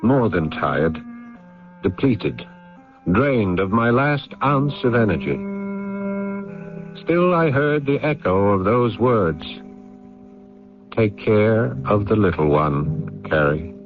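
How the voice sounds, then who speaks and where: An actor playing a weary, burdened family man in a theatrical radio drama, measured when reflecting and exasperated when arguing. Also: lowish voice, expressive. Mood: somber, reflective. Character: weary, burdened man caring for troubled family, narrating memories. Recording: muffled old radio broadcast, music underneath.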